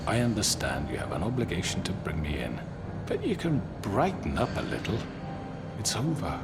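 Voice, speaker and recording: A smug polite villain boss for a game, deep voice that swoops around, formal and condescending, quietly menacing. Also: male voice, huge pitch swings, loud music behind the voice, exaggerated voice. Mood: smug menace. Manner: formal, condescending, deliberate diction. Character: smug, calculating male crime boss, courteous and menacing. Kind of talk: video game voice acting.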